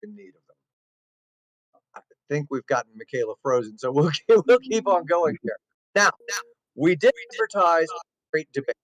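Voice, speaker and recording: A man's voice: fairly high pitch, animated, moderate pace, pauses often, a bit bright, dry recording, poor audio. A man hosting a casual interview show, chatting with wry asides. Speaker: host.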